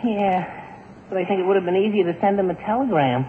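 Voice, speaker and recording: An actor playing a flustered, excitable teenage schoolboy in 1940s radio sitcom style. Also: male voice, high-pitched, fast talker, lightly acted. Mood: easygoing. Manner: excitable, exasperated. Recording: tinny, noisy 1940s radio broadcast.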